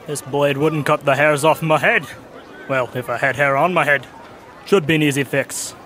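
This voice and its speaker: A man playing a gruff, businesslike medieval toolmaker in an audio drama, blunt and stern as he haggles. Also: on the high side, steady tone, normal speed, pushed and bright, some chatter behind, a little hiss or hum. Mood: skeptical.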